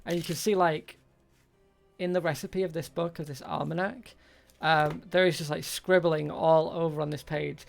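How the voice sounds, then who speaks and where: Creaky voiced game master doing shopkeeper roleplay, kinda halting, mid pitch. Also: steady tone, faint music behind. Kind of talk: improvised tabletop roleplay, speaking as a shopkeeper.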